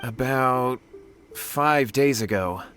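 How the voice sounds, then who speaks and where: A man's voice: medium pitch, takes it slowly, a bit bright. A man voicing a humble, earnest young martial artist in a video game, deferential and flustered. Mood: hesitant. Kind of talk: English video game character acting. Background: music.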